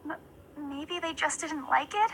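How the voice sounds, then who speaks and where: A man voicing a nervous young woman estate agent in a slow, high falsetto while playing a horror game. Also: noisy background, exaggerated voice. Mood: uneasy.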